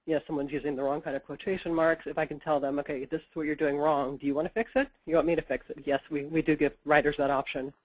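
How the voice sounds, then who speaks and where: A woman's voice: low voice, level tone, fast, hardly any pauses, no echo, poor audio. A woman editor with a head cold, giving practical explanations in a webinar interview and often saying "you know". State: stuffed up with a cold. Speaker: book editor.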